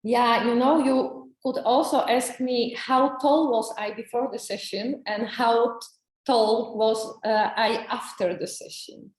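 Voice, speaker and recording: A woman telling her story in an interview, halting and searching for words, with many restarts. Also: a little high, fairly even intonation, echoey room, poor audio. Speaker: coaching client. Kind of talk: testimonial interview.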